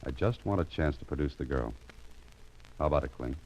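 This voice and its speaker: An actor playing a wry, cool-headed private investigator in 1940s radio style, with a sardonic way of talking. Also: male voice, a bass voice, animated, fairly quick, darkish tone, a little hiss or hum. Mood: dry, questioning. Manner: sardonic, talks to himself in narrated asides. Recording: dull vintage radio recording, music behind.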